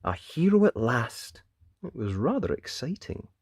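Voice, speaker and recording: A man narrating a children's storybook in an expressive read-aloud style. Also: mid-range voice, measured pace, dry recording, clean studio sound. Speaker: storybook narrator and channel presenter.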